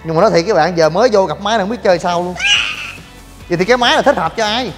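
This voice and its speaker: A young man hosting a gym vlog, joking in rapid banter and shouting coaching cues. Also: high-pitched. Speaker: fitness vlogger. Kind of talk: energetic gym demo vlog. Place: large indoor gym. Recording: long gym reverb with music.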